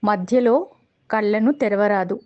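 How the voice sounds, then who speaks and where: A woman with a Telugu accent guiding a meditation in a calm, measured way, pausing between short phrases. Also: medium pitch, dull audio. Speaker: meditation guide. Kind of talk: guided meditation instruction.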